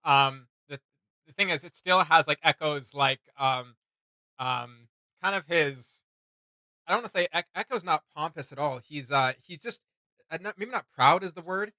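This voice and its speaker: A man's voice: a little high, moderate pace, bright, forceful tone, dry recording. A man hosting a reaction video in casual commentary, often hesitating as he searches for words.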